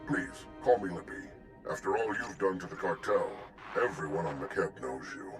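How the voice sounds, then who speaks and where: Deep, pompous alien informant over radio. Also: male voice, expressive, normal speed, over music. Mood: indignant, courteous. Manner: pompous, florid, formal wording. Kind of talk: scripted game dialogue.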